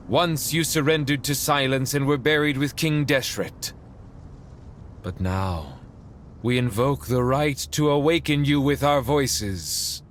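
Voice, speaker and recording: An ancient, undying king for a video game. He speaks in a medium register with formal, calculating wryness and never seems ruffled. A faint rumbling noise sits behind him. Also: a bit bright. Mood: solemn. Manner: formal, smooth-tongued, dry. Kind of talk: scripted video game cutscene acting.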